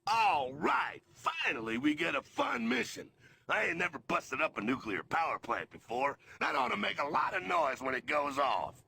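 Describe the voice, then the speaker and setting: A loud-edged dumb bruiser for a game, gravelly, slangy, swingy pitch. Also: male voice, huge pitch swings, moderate pace, slight background noise, heavily acted. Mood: gleeful. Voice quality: gravelly, forceful. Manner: boisterous, slangy, uncouth. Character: huge brash male brute who loves destruction.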